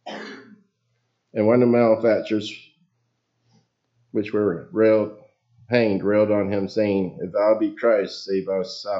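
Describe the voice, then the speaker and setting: A man with a very low voice leading prayer and giving an informal sermon in a small church, reading scripture and losing his place now and then. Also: dark tone, dull audio. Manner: folksy, loses his place and apologizes. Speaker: lay preacher. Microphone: PA microphone.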